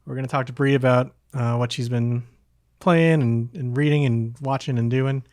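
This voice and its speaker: A man's voice: mid-range voice, steady tone, moderate pace, a little mellow, dry recording, clean studio sound. A man hosting a podcast in a casual, conversational way. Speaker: podcast host.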